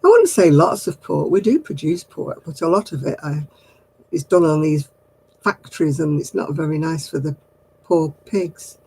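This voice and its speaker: A woman's voice: deep voice, lively intonation, moderate pace, dark tone, slightly rough, rough recording. An older woman with a mixed Oldham and Manchester accent, chatting casually to live viewers in a rambling, homely way and chuckling often. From Royton, Oldham, England. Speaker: livestream host. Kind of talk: casual chat answering live comments.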